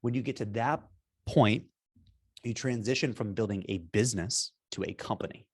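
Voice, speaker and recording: A man, a company founder, talking in a casual business interview over a video call. He is energetic and emphatic and keeps checking in with "right?". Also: lowish voice, moderate pace, husky. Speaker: software company founder, guest.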